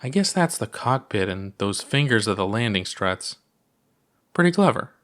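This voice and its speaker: A man's voice: fairly low pitch, sing-song, normal speed, a bit bright. A man voicing a curious, skeptical alien companion with a candid, questioning manner in a storybook reading. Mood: puzzled.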